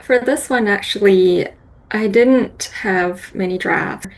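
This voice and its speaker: A woman poet talks openly about her writing, with soft laughs between her thoughts. Her voice carries a strong gravelly fry. She speaks with candor and keeps a warm tone. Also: medium pitch, slow, poor audio. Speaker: guest poet. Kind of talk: casual reflective conversation.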